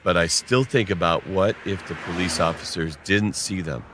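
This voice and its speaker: A man's voice: low-pitched, moderate pace, a bit bright, slight background noise. A man narrating a scripted first-person horror story.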